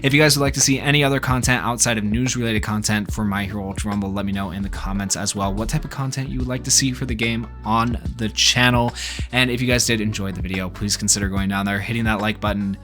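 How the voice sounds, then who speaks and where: A man's voice: lowish voice, little pitch movement, fast, fairly bright tone. A man giving casual gaming news commentary as a YouTuber, talking in an informal, speculative, rambling way. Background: background music.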